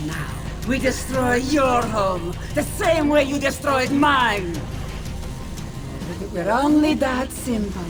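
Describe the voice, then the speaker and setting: A woman playing a vengeful, coldly poised supervillain leader in a video game cutscene, deliberate, menacing and grandiose. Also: sits high, expressive. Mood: bitter, menacing. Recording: music playing behind the voice.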